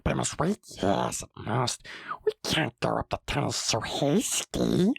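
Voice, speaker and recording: A man's voice: medium pitch, expressive, a little slow, vocal fry, breathy edge, no room sound, studio quality, full character voice. A man voicing a slimy, greedy, ancient male creature that hisses and mutters to itself. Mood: whispering, scheming. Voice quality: hissing, whispery. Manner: wheedling, muttering to himself.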